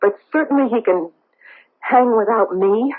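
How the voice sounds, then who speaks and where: Husky, guarded socialite woman. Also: fairly high pitch, measured pace. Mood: guarded, agitated. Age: middle-aged. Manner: hesitant, evasive, defensive. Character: guarded socialite woman hiding her past, anxious, defensive. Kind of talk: 1970s radio drama acting. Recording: tinny, band-limited old radio broadcast.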